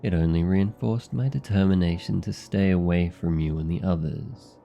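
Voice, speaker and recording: A man's voice: low voice, moderate pace, gravelly, dark tone, faint music behind. A man playing a cold, surly younger demon brother, curt and protective, in an ASMR fantasy roleplay. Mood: cold, scornful. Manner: curt, serious, hostile.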